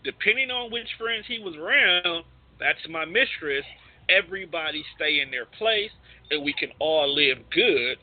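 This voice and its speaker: A man co-hosts a casual radio talk through a narrow, tinny phone-like connection. His voice sits a little high for a man. He talks bluntly, with plenty of slang and swearing. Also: expressive, a little slow. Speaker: radio show co-host. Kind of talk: casual panel discussion. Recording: tinny, band-limited phone-line sound.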